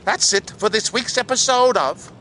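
A man's voice: sits high, normal speed, pushed and bright, slight background noise. A man playing a chatty, hopeful Cardiff actor with a Welsh accent, telling of auditions in a vlog monologue. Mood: upbeat, chatty. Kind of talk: scripted-feel vlog monologue.

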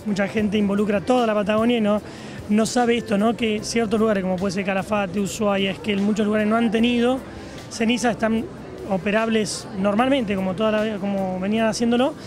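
A man in his forties with an Argentine accent, a tourism official explaining things in a measured way with "este" fillers, interviewed on a trade fair stand with a handheld mic. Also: sits high, steady tone, over music. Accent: Argentine Spanish. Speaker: provincial tourism secretary. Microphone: handheld reporter mic.

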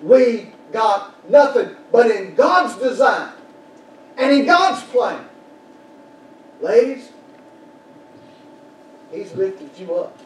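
Fervent older preacher in a church. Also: male voice, high voice, lively intonation, extremely slow, pauses often. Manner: fervent preaching with sudden shouted peaks, then quiet prayer. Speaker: pastor. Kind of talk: sermon. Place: small church sanctuary. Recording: roomy church echo from far off, background noise.

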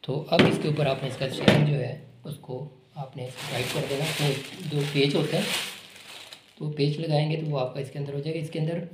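A man casually explaining, step by step, how to unbox and assemble a product. He talks in a relaxed, repetitive way while working with his hands. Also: a little high, moderate pace. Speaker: online shop product demonstrator. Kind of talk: unscripted unboxing and assembly walkthrough. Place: indoor room with a table. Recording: echoey room with noticeable background noise.

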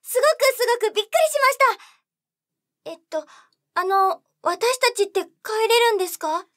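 A woman's voice: very high voice, lively intonation, moderate pace, fairly bright tone. A woman voicing an innocent, earnest little sister in an anime-style voice drama. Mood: flustered.

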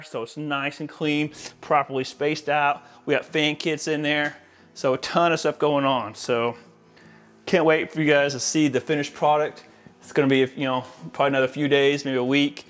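Higher-voiced American man, enthusiastic installer. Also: steady tone, moderate pace, a bit bright. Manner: enthusiastic, informal. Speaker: home audio-video installer giving a tour. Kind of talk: casual walk-through presentation to camera. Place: inside a large, mostly empty house.

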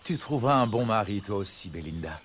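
A man's voice: on the low side, lively intonation, brisk, fairly bright tone, slight background noise. A man voicing a noble, earnest young prince in a French cartoon dub. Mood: conciliatory.

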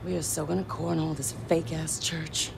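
A woman's voice: sits low, level tone, normal speed, gravelly. A young woman playing a brash, defiant hacker activist in a game cutscene, blunt and determined. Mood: scheming, scornful. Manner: blunt, foul-mouthed, determined. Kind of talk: video game cutscene acting. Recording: noisy ambient game scene.

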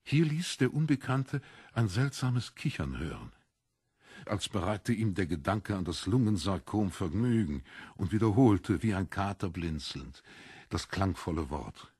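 A man's voice: low-pitched, big pitch swings, measured pace, a little mellow, husky, no echo, studio quality. A man narrating a literary audiobook from a script.

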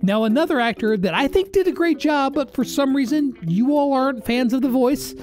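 A man's voice: extremely high pitch, animated, fairly quick, a little mellow. A man, giving casual, conversational countdown commentary as a video host. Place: small home studio, indoors. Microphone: close boom-arm studio microphone. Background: faint music.

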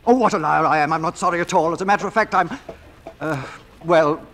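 An actor playing a brazen, flirtatious gentleman author, with a smooth, teasing manner in period radio drama style. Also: a little high, fairly quick, slight background noise. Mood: ardent, flirtatious. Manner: smooth, teasing, mock-hesitant courtship.

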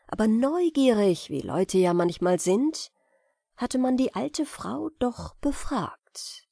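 A woman's voice: mid-range voice, a little mellow, no room sound, studio quality. A woman reading a fairy tale as scripted audiobook narration for bedtime.

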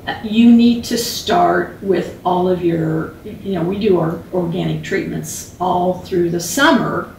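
A woman's voice: lowish voice, measured pace, gravelly, few pauses, slight background noise. A woman in her fifties, a beekeeping instructor, talking casually in a living room and gesturing as she speaks. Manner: chatty, animated, gestures with her hands. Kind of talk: casual conversation. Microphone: far camera. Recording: echoey room, distant mic.

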